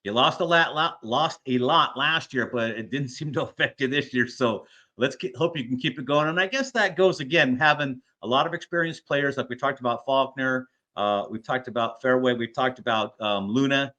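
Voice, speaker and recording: A man's voice: medium pitch, normal speed, pushed and bright. An American man hosting a sports show, talking conversationally as an interviewer.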